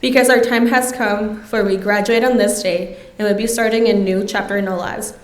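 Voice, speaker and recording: A woman's voice: mid-range voice, steady tone, normal speed. A young woman giving a prepared commencement speech as a graduating student at a podium microphone. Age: young adult. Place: large auditorium stage. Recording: echoey hall, podium microphone.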